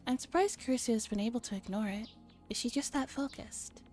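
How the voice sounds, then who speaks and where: A woman streamer softly reading a visual novel aloud in an ASMR style, with gentle, casual asides to her chat. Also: a little high, brisk. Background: music.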